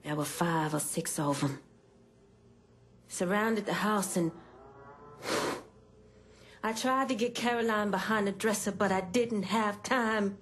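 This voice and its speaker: A woman's voice: medium pitch, slow pace, long pauses, close to an everyday voice. Woman acting hardened, sombre grieving mother. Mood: pained recounting. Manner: terse, unyielding, sombre. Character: hardened, grieving mother turned fierce protector. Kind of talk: dramatic game cutscene acting. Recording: faint background noise and ambience.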